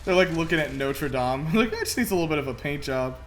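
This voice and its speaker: Animated, explanatory movie reaction co-host. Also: medium pitch, fast talker. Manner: explains game references to the others. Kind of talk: casual reaction commentary over a film.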